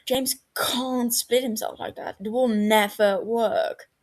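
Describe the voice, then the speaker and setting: Brief: a woman reading an edgy young man in a storybook reading, quick to snap, defensive, voice pushed a little high. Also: steady tone. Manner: raises voice in frustration, stammers when upset. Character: anxious, prickly young man, defensive and exasperated.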